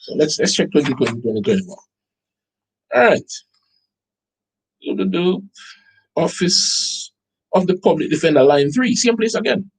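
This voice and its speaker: Jamaican male host, husky, reads documents aloud with incredulous asides. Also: on the high side, moderate pace, long pauses, poor audio. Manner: animated, incredulous, rhetorical questions to viewers. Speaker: online show host. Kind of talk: commentary reading out a document.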